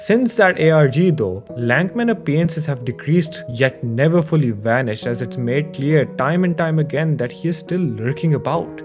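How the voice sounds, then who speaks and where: A man narrating a scripted video essay, addressing the listener directly with a rhetorical delivery, as the host of the piece. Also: medium pitch. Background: background music.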